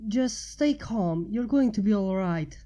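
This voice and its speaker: A woman's voice: medium pitch, animated, a little slow, warm tone, close to an everyday voice. A woman voicing a young female unicorn mage, earnest, caring and a little proud, in a storybook reading. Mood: concerned.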